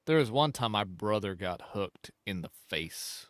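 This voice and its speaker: Lively joking man, dry close sound. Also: on the low side, measured pace, no echo. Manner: joking, rambling storyteller. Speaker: podcast co-host. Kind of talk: casual chat.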